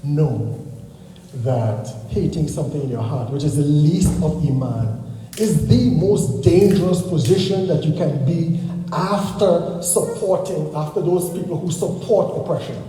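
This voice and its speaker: A man's voice: fairly high pitch, expressive, a little slow, dark tone, a little hiss or hum. A man giving a religious lecture and answering questions, talking conversationally and often checking in with "okay?". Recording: echoey hall with long reverb.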